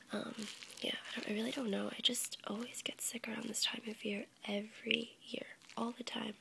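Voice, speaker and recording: A woman's voice: a little high, level tone, normal speed, gravelly, pauses often, husky, rough recording. Whispering young woman with a cold. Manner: soft unvoiced whisper, rambling with fillers and small laughs. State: sick with a cold, congested. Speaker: ASMR YouTuber. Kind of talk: whispered ASMR ramble. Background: crinkling packaging.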